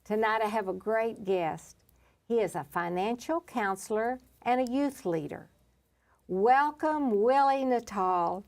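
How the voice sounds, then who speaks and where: A woman's voice: a little high, unhurried, a little mellow. A middle-aged woman hosting an interview show, friendly and teasing with her guest. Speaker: talk show host.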